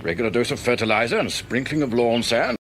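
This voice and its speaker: A man's voice: medium pitch, very animated, quick pace, fairly bright tone, slight background noise. An actor playing a fussy, irascible retired British man in a radio drama, blustering and grumbling. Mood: proud, fussy. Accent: British English. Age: older. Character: fussy, irascible retired husband obsessed with his lawn.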